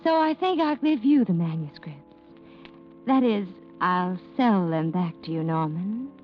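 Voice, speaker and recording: Through a muffled old radio broadcast with clear music behind comes a wealthy, scheming widow. She speaks with lively, swooping intonation and polished, coy sweetness, in the style of 1940s mystery drama. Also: lowish voice, measured pace. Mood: coy, calculating. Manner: coy, polished, mock-innocent. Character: Janet, coolly scheming wealthy widow, feigning sweet helplessness.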